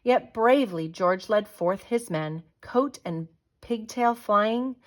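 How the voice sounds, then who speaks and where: Female storyteller, unhurried, dramatic children's read-aloud, mid-range voice. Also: mid-range voice. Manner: dramatic, deliberate storytelling delivery. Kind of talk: expressive children's picture book read-aloud.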